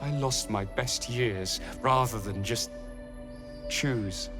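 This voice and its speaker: A man's voice: mid-range voice, takes it slowly, music in the background, lightly acted. An actor voicing a traumatized male elf prisoner in a video game, with a trembling, bitter delivery. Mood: haunted.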